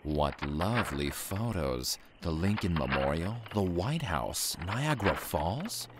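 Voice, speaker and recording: A man playing a mysterious, courteous door-to-door salesman in an audio drama, speaking in a formal, smooth way. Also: sits low, very animated, slow pace, noisy background, full character voice. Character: mysterious polite male salesman dealing in calendars.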